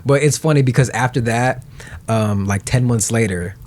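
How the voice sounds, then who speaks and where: A man's voice: mid-range voice, normal speed. A man in his twenties from Nebraska, a podcast guest telling a story in casual chat and acting out what others said, laughing often. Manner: animated storyteller who acts out quotes, laughs often.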